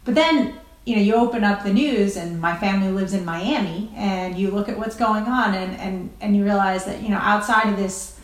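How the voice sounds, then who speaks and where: A woman with an American accent answering as a doctor in an interview, measured and reflective, searching for words. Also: medium pitch. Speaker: interview guest, critical care doctor. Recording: roomy, echoey remote sound.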